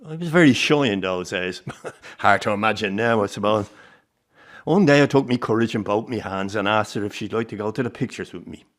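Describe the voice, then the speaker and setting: A man acts an elderly Irish circus grandfather in a radio play. His voice sits on the low side, and he speaks as a fond, nostalgic family man who still carries grief. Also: brisk, natural voice. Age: older. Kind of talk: radio drama acting.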